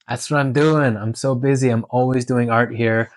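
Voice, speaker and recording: A man's voice: mid-range voice, steady tone, moderate pace, no echo. A man from Canada and Hong Kong talking candidly and informally in a question-and-answer vlog. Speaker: tattoo artist and vlogger.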